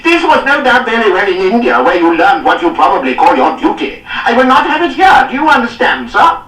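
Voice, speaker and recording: A man's voice: extremely high pitch, very animated, brisk, echoey room, far from the mic, voice pushed up, heavily acted, rough recording. A male narrator voicing a furious, blustering aristocratic cavalry lord who bawls, sneers and bursts into braying laughs. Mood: raging. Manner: shouting, sneering, hectoring. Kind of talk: audiobook character voice.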